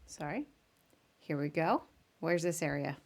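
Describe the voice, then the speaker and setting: A woman with a slightly low-pitched voice hosts a podcast. She is chatty and informal, speaking in the loose conversational style of a live interview. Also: animated, measured pace. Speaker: podcast host.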